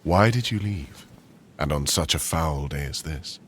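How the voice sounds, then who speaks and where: A man playing a proud, commanding and possessive conqueror king in a boyfriend roleplay audio, speaking in slow, formal, measured phrases with a deep gravelly voice. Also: lively intonation, slight background noise. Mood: probing, softening.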